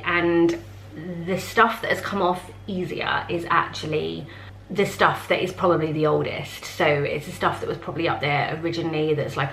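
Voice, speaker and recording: A woman's voice: on the low side, creaky, fairly bright tone, a little hiss or hum. A woman in her thirties chatting casually to a close handheld camera in a large kitchen. She rambles and uses many fillers. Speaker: home renovation vlogger. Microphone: camera held close. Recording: echoey room.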